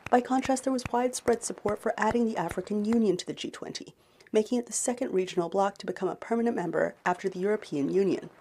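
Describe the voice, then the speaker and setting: Female field correspondent, mid pitch, steady reporting read, light noise underneath. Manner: measured reporting delivery. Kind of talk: news report.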